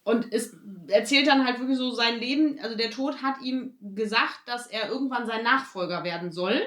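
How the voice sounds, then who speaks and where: A woman's voice: on the high side, moderate pace, a bit bright. A woman chatting casually as a podcast co-host. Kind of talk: casual chat. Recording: roomy echo.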